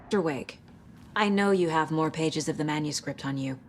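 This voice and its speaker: Woman playing a low-pitched, determined investigator. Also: normal speed, a little hiss or hum, natural voice. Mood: firm, businesslike. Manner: businesslike, firm. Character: level-headed, determined female federal investigator. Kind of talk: cinematic video game acting.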